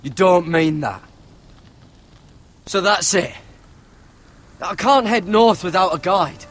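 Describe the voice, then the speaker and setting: An actor playing a wary young runaway Night's Watch man in a game drama, defensive and husky. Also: high-pitched, lively intonation, takes it slowly, fairly bright tone, full character voice. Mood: hurt, insistent. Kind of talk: video game drama acting.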